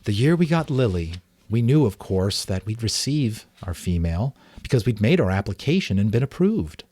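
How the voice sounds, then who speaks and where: A man's voice: on the low side, sing-song, normal speed, warm tone, dry recording. Man reading as a fond, reminiscing mother. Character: smiling mother recalling her daughter's naming.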